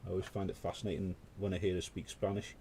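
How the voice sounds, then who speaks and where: British guy rambling through a paint-along stream, low mellow voice. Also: flat delivery, fast, slight background noise. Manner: rambling, conversational, full of asides. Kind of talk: casual chat while painting.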